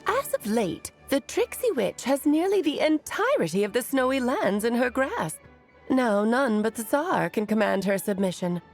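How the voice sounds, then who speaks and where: A woman's voice: a little high, very animated, normal speed, soft background music. A woman voicing an elegant, gracious storytelling witch in a fantasy video game, refined and a little theatrical. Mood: gracious, reflective.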